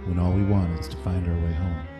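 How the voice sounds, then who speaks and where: An actor playing a wry, sardonic stage magician in an audio drama. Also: male voice, low-pitched, flat delivery, a little fast, over loud music, lightly acted. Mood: solemn.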